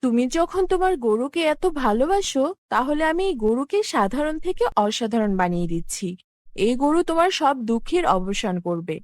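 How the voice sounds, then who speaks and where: High, lilting benevolent fairy played by a woman. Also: big pitch swings, moderate pace, a little mellow, dry recording, clean studio sound. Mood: solemn. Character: benevolent, gently bossy magical flower fairy woman. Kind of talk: cartoon fairy-tale voice acting.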